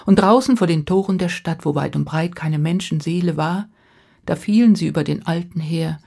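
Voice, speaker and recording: A woman storyteller freely narrating a fairy tale, with dramatic pauses, repetition and hushed drops for suspense. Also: on the low side, creaky, breathy edge.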